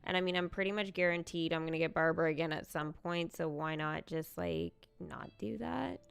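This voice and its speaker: A woman hosting a live game stream in casual, excitable chat, thinking aloud as she goes. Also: sits low, fairly quick, creaky, smooth, soft background music. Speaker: livestream host, gamer.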